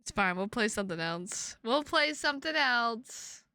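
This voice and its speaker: Bright, excitable woman streamer. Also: a little high, unhurried, no room sound. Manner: excitable, sweary, repeats phrases emphatically. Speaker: live streamer of visual novel games. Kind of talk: casual livestream chat with viewers.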